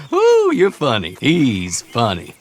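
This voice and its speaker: An actor playing a cocky, menacing backwoods horse dealer with a needling Southern drawl in a game cutscene. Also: male voice, a little high, background noise, heavily acted. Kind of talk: video game cutscene acting.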